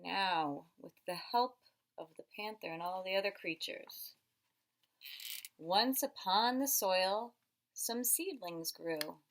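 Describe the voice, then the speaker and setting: A woman teacher reading a picture book aloud to young children, expressive and instructive, stretching words for emphasis and pausing to ask questions. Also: medium pitch, slight background noise, low-quality recording.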